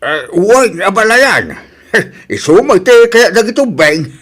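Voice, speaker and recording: A man's voice: high voice, very animated, unhurried, a bit bright, exaggerated voice. Man acting as wildly swooping, jolly in-law. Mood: jovial. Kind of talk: radio-style family drama acting.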